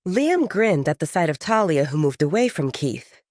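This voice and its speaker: A woman narrates dramatic fiction in a slightly low voice. Her delivery is expressive, with a lively melody that moves freely. The sound is close and dry. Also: moderate pace, no room sound. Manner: expressive storytelling. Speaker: audiobook narrator. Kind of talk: scripted dramatic fiction narration, third person.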